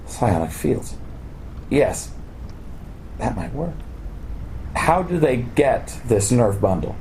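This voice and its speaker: Man playing a preoccupied programmer, mellow, swooping pitch. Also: very animated, slow pace, rough recording. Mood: musing. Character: preoccupied male programmer, musing to his companion. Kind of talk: radio play dialogue. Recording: faint background ambience noise.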